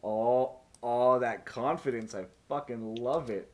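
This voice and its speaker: A man's voice: medium pitch, slow pace, a little mellow. A man hosting a tabletop game stream as game master, talking casually and jokily with plenty of swearing. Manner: profane, joking, laughs often.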